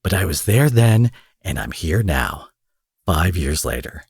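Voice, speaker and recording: A man acting out a cocky, foul-mouthed young supervillain in an audio roleplay, husky, mocking and possessive, taunting his captive with drawled pet names. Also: deep voice, sing-song, a little slow, no room sound, studio quality, full character voice. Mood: mocking, insistent. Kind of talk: dramatic roleplay monologue addressed to the listener.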